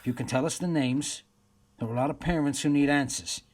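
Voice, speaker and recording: A man playing a steady police detective in a radio play, firm and questioning. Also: medium pitch, fairly quick, natural voice. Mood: probing.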